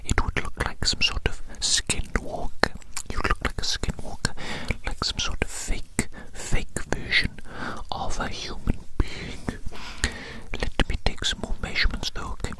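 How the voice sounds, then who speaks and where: A man whispering without voice in a gentle, soothing ASMR roleplay, softly repeating words and phrases. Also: unhurried. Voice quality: unvoiced whisper.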